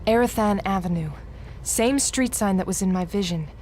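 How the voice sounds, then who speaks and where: A woman's voice: mid-range voice, moderate pace, slight background noise, lightly acted. Tough, terse female investigator played by an actress. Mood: brooding, puzzled. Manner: terse, serious. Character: tough, brooding female investigator, driven and irritated. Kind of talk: dramatic video game voice acting. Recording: loud music over the voice.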